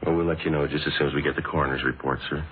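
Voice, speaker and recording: An actor playing a businesslike police detective with a clipped, deadpan, polite manner, in an old radio crime drama. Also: male voice, a bass voice, racing. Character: businesslike, matter-of-fact male police detective sergeant. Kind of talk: 1940s-50s radio drama acting and narration. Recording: noisy, phone-like old radio recording.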